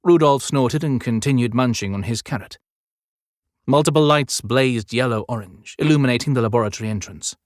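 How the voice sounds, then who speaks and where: A man's voice: mid-range voice, a little fast, no room sound, clean studio sound. A man narrating scripted fiction as an audiobook storyteller.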